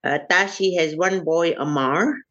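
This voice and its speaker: Older woman interviewee, raised in Uganda, hesitant and slow-spoken, dull lo-fi recording. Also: fairly low pitch, fairly bright tone. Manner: slow and halting, many fillers. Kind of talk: family oral history interview.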